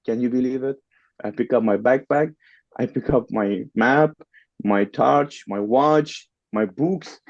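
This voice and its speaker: A man's voice: mid-range voice, a little slow, no room sound, lo-fi sound. Podcast guest, man, Persian accent, non-native English, repeats lines for emphasis. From Middle East. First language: Farsi. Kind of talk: casual interview storytelling.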